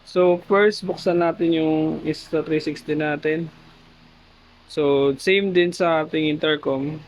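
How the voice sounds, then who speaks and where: A Filipino man with a fairly high voice talks through a casual how-to vlog. He speaks at an unhurried pace, addressing viewers informally like friends. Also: fairly even intonation. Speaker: motovlogger. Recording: muffled helmet intercom mic, background noise.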